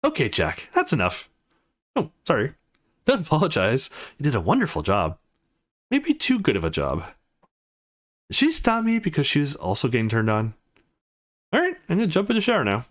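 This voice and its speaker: A man's voice: on the high side, wildly swooping pitch, moderate pace, long pauses. A man reading visual novel dialogue aloud as a let's play host, voicing the characters' lines as he goes. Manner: voices the characters' lines as he reads.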